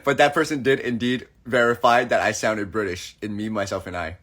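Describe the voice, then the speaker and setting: A man's voice: lowish voice, steady tone, fairly quick, a bit bright. A young man hosting a casual livestream chat, playful and acting out the people in his stories. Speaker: livestream host.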